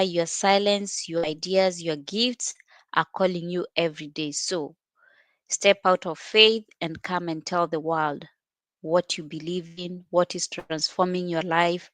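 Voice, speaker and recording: A woman hosting a live session gives an earnest, encouraging talk grounded in faith. Her voice sits a little low. The video-call sound is dry, with no echo. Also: fairly bright tone. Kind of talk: motivational talk.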